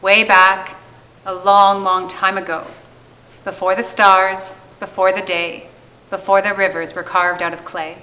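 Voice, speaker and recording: A woman's voice: mid-range voice, flat delivery, a little slow, pushed and bright, poor audio. A woman giving a scripted poetic reading at a church service. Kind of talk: scripted poetic reading, shared among readers.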